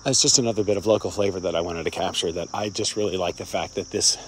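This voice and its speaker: A man's voice: sits low, fairly even intonation, fast talker, slight background noise. Rambling older man on a walk. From Cincinnati, Ohio. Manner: rambling, chatty, frequent fillers, chuckles. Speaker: vlogger. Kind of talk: casual walk-and-talk vlog. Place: outdoors on a suburban campus walk. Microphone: phone held at arm's length, wireless earbuds.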